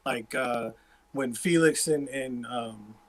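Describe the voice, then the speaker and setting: A man's voice: mid-range voice, takes it slowly. A man with a Boston-area New England accent, a musician guest talking loosely in a casual livestream interview. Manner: loose, rambling, self-interrupting.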